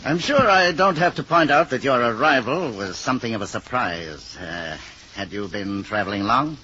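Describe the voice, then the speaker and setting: An actor playing a polite, uneasy presidential secretary in an old radio drama, diplomatic and hesitant. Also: male voice, mid-range voice, animated, normal speed, bright, forceful tone. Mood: polite, formal. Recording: muffled, noisy old radio recording.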